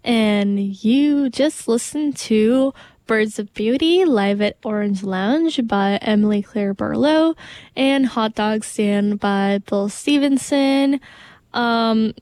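A woman's voice: fairly high pitch, slow, a little mellow, no room sound. A young woman from Brazil, a native Portuguese speaker, hosting a music radio show in casual, enthusiastic, rambling chat full of "like". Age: young adult. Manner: enthusiastic, rambling, filler-heavy with frequent "like".

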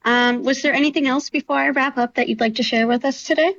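A woman's voice: high-pitched, steady tone, quick pace, fairly bright tone, muffled recording. A woman interviewer asking prepared questions in a friendly way.

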